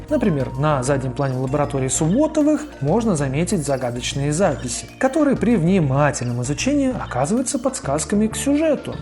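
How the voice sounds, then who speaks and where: A man's voice: on the high side, a little mellow. A man hosting a video with scripted narration and informal, slangy asides. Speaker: video host. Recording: faint music under voice-over.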